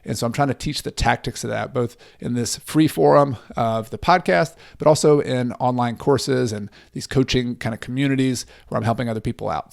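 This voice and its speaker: A man's voice: medium pitch, vocal fry. A man hosting a podcast interview, speaking in an earnest, encouraging way. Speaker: podcast host and real estate coach.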